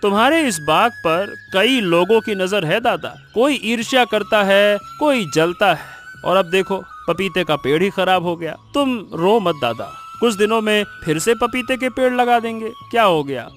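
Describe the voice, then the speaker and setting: A man plays a concerned young villager in a cartoon tale, consoling and curious. His voice is notably high, set over clear background music. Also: lively intonation, unhurried, a bit bright. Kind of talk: Hindi cartoon story voice acting.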